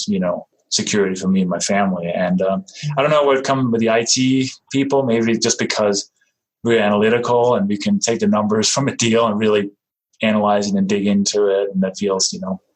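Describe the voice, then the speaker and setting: A man in his forties from Denmark with a Danish accent, speaking as a podcast guest in a relaxed, halting way with many fillers and restarts. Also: lowish voice, husky, poor audio. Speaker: guest, real estate investor. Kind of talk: casual interview talk.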